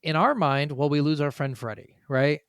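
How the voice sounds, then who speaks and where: Higher-voiced man, blunt casual podcaster. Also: normal speed, smooth, no echo, clean studio sound. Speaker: podcast co-host. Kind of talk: casual gaming podcast chat.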